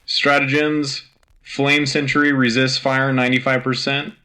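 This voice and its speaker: Man playing a forceful wartime radio news anchor. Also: medium pitch, little pitch movement, unhurried. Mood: brisk, official. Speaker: radio host. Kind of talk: scripted military news bulletin.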